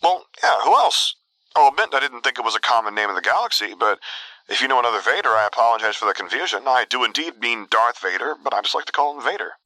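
A man voicing a calm, polite and friendly young man in an audio story. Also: medium pitch, fast, no room sound, voice pushed up, rough recording. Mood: gently puzzled.

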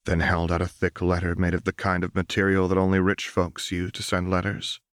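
A man narrating scripted fiction as an audiobook reader, in a soft, measured, solemn manner. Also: low voice, completely flat delivery, no room sound, studio quality.

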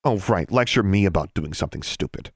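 A man's voice: sits low, expressive, fast talker, vocal fry, breathy edge, no room sound, clean studio sound, exaggerated voice. A man voicing a stubborn teenage witch girl who stammers through chattering teeth, indignant.

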